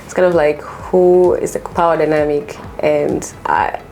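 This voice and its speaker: A woman's voice: low voice, fairly even intonation, measured pace, warm tone, faint music behind, poor audio. A woman in her thirties from Tanzania, speaking as an interview guest in casual, personal storytelling, informally and repeating herself with lots of filler phrases. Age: 30s. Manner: informal, repeats herself, many "like" and "you know".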